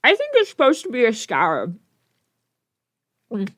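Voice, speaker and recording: A woman's voice: fairly high pitch, huge pitch swings, unhurried, a bit bright, no echo, clean studio sound, full character voice. A woman playing a brainy, self-assured teenage superhero with a friendly, nerdy manner in an audio drama. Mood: chatty, nerdy.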